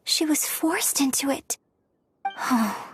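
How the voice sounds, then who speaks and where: A refined young lady with a high, girlish pitch. She is courteous and thoughtful, phrasing things carefully in anime game style over faint music. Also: expressive, dry recording. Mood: sorrowful, reflective. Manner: courteous, measured, articulate, reflective. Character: polite, refined, thoughtful young opera performer woman.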